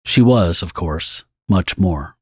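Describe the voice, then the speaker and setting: A man reading scripted, dramatic story narration for an audio drama in a husky voice. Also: low voice, lively intonation, takes it slowly, no echo, clean studio sound.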